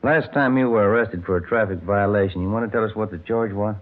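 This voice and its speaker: An American actor playing a firm, pressing police detective in a 1950s radio drama. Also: male voice, lowish voice, fast, close to an everyday voice. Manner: firm, questioning, no-nonsense. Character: brisk, pressing male police detective partner. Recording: muffled, narrow-band vintage radio recording.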